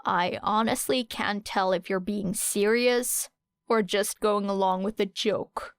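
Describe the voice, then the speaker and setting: A skeptical teenage boy from an audiobook story, read by a woman. He talks drily in a clear voice, recorded close with no room sound. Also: mid-range voice, steady tone, slow, no echo. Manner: dry.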